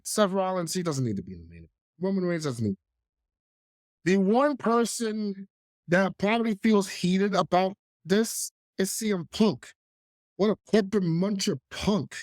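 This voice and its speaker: A man's voice: sits high, sing-song, moderate pace, no echo, clean studio sound. A man with a cold and a nasal, stuffy voice, hosting a solo wrestling podcast with emphatic opinions. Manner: opinionated, emphatic, clears throat. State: congested, under the weather.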